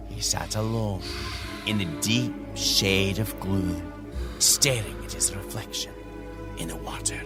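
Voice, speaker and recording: An actor playing a patient father reading a storybook to children in an audio drama, theatrical and deliberate. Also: mid-range voice, close to an everyday voice.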